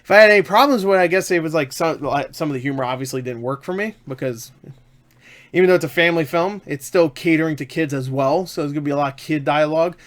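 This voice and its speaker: A man's voice: on the high side, fast. A man giving a casual, unscripted film review in an enthusiastic, emphatic, rambling way, often repeating himself.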